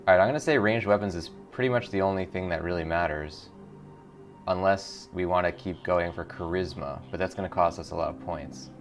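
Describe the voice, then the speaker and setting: Low-voiced man hosting a game playthrough. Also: fairly quick. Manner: enthusiastic, informal. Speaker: gaming show host. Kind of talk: casual game playthrough commentary with read-aloud text. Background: faint music.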